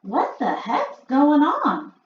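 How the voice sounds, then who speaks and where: A woman voicing a demanding, bratty young boy who whines and shouts. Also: high voice, huge pitch swings, slow, pitched above the performer's own voice, exaggerated voice. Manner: whiny and shouting. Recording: dull echoey home recording.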